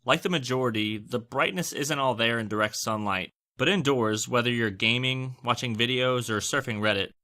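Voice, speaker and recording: A man's voice: medium pitch, pushed and bright. A man hosting a scripted product review, narrating with wry, joking asides. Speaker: tech reviewer and host.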